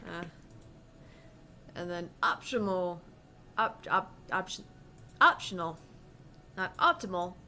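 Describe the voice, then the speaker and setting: Animated woman streaming a game casually. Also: lowish voice, slow, pauses often, a little hiss or hum. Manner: sweary, joking. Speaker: gaming streamer. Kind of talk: casual playthrough chat with read-aloud game dialogue.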